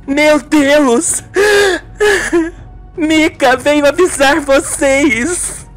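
A woman's voice: high voice, drawn-out words, soft background music, pitched above the performer's own voice. A woman voicing an anguished, tearful aunt with startled exclamations in a radio soap. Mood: shocked, tearful. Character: anguished, tearful aunt hiding a family secret. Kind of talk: amateur radio soap acting.

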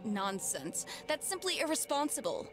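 Smug, haughty schemer. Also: female voice, sits high, big pitch swings, normal speed. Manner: haughty, feigned innocence. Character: smug, scheming young woman shop supervisor. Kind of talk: visual novel voice acting. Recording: music behind.

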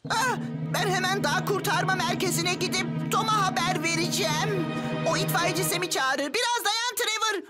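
A woman voicing an excitable, mischievous young boy in a dubbed children's cartoon, urgent and exclamatory. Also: very high-pitched, moderate pace, loud music behind the voice. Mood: panicky, urgent.

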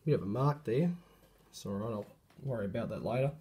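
Croaky-voiced man showing off a light. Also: lowish voice, expressive, a little fast, mellow. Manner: hesitant, many ums and self-corrections. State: hoarse from a cold. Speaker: hobbyist showing a refurbished light. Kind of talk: casual show-and-tell narration. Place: indoor room with light fittings on the wall.

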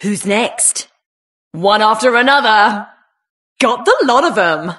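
A woman's voice: on the high side, lively intonation, slow pace, a bit bright. A woman with a British accent playing a plucky, cheeky builder hero in a video game. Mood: gleeful, cocky. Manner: cheeky, plucky, punchy short exclamations.

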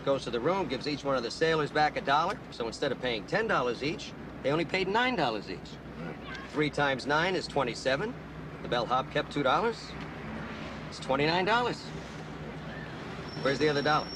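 A man's voice: on the high side, animated, moderate pace, background noise, lightly acted. An actor playing an earnest, protective angel in human form, an American man with a placating manner, in a television drama. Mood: casual.

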